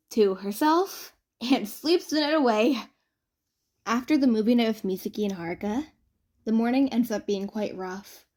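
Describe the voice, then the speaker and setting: A woman reading fan fiction aloud as a narrator, in an expressive audiobook style. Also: medium pitch.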